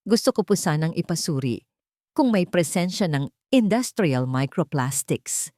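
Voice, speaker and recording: A respectful young nanny in an audio drama, voiced by a woman narrator. She is careful and polite, with quiet resolve. The recording is dry and close. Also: on the low side, expressive, a little slow, dry recording. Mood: firm.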